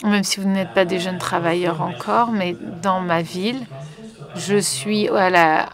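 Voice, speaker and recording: A woman's voice: fairly low pitch, fairly even intonation, a little slow, a crowd in the background. A woman working as a conference interpreter, relaying a panel live with frequent fillers and restarts. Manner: hesitant, many fillers and restarts.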